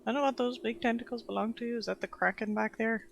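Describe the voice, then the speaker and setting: A man doing casual live game commentary, calm and wry, asking bemused questions aloud as he plays. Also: very high voice, fast, gravelly. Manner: even-toned and calm. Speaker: game streamer. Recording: noisy stream with game ambience behind.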